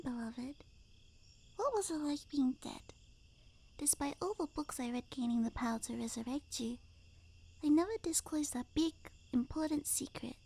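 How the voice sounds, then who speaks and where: An obsessive, lovestruck necromancer girlfriend acted by a woman for an ASMR roleplay. Her voice is high and delicate, with creaky edges that slip into hushed half-whispers. She speaks slowly and clingily, cooing with sweet, unhinged devotion. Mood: tender. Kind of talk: ASMR roleplay, intimate soft-spoken acting with whispering.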